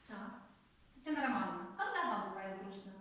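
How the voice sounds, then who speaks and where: A woman's voice: medium pitch, expressive, fairly quick, sharp tone. A woman leading a group workshop as a teacher, giving instructions and repeating her questions to the participants. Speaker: teacher leading a master class. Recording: echoey room, distant microphone.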